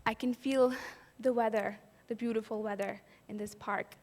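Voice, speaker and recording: A woman's voice: fairly high pitch, fairly even intonation, moderate pace, a little mellow. A woman from Pakistan giving an earnest personal storytelling talk at an event, posing rhetorical questions to the audience. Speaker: event speaker. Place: event hall. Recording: long hall reverb.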